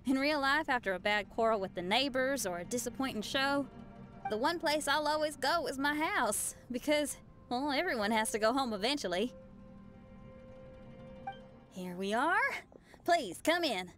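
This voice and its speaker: Spirited, high young musician girl. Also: animated, normal speed, fairly bright tone, soft background music. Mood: relaxed, reflective. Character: spirited young female musician, frank and easily startled. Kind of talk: video game voice acting.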